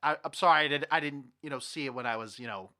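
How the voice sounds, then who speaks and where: A young man hosting a podcast with casual, animated, rambling banter about movies and games. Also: medium pitch, fast talker. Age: young adult. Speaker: podcast host.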